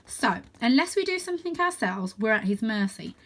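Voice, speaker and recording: An earnest young tomcat from a children's storybook, played by a woman, speaks in a raised, high voice. He is anxious yet determined, pressing each point with urgent emphasis. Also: very animated, normal speed, fairly bright tone, heavily acted.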